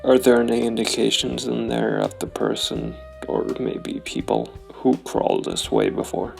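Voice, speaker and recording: A man narrating his solo tabletop role-playing game, thinking aloud in a deliberate, analytical way. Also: mid-range voice, wildly swooping pitch, vocal fry, a little mellow, soft background music.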